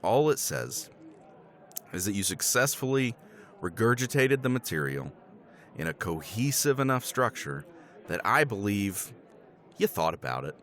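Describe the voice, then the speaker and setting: A man in a romance ASMR roleplay speaks as a professor, his voice low and slow. His pitch swings widely as he teases, laughs and swears without a care. He keeps it wry and self-mocking. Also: big pitch swings, a bit bright. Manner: wry, teasing, self-deprecating; swears casually, laughs often.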